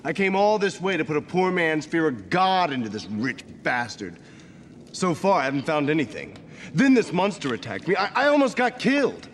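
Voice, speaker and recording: An actor playing a brash, talkative young adventurer in a video game, wry and sometimes stammering. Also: male voice, fairly high pitch, big pitch swings, moderate pace, slight background noise. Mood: wry, chatty. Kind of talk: dramatic video game voice acting.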